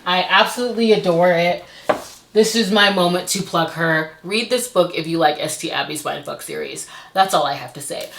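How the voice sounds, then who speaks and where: A woman giving a casual book review to camera, expressive and emphatic in an articulate way. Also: on the low side, moderate pace, vocal fry, reverberant, noisy background.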